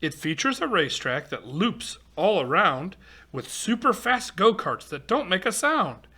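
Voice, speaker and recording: A man's voice: high-pitched, big pitch swings, a little slow, pushed and bright, husky. A man reading a rhyming picture book aloud to children, expressively, with exclamations and chatty asides. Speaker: volunteer storybook reader for children.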